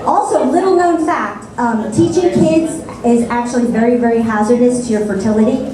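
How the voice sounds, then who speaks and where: A woman doing a stand-up comedy set on a club stage, with deadpan, sarcastic asides. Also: on the high side, moderate pace, crowd noise. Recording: echoey club sound from a distant mic.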